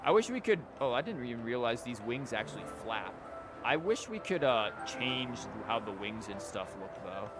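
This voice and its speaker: Man streaming games over loud music. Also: mid-range voice, sing-song, normal speed. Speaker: game streamer. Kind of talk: casual gameplay commentary. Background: game music.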